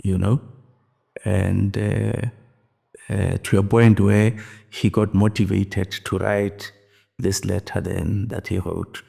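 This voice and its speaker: A South African man in his sixties, reflecting slowly on his past in an interview. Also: deep voice, little pitch movement, gravelly, mellow, slightly rough. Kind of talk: recounting personal history.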